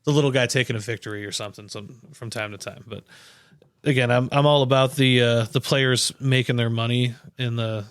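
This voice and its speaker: A man's voice: mid-range voice, fairly even intonation, fairly quick, clear, resonant tone, dry recording, clean studio sound. A man co-hosting a casual sports chat, laid-back and slangy, with some swearing. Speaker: podcast co-host.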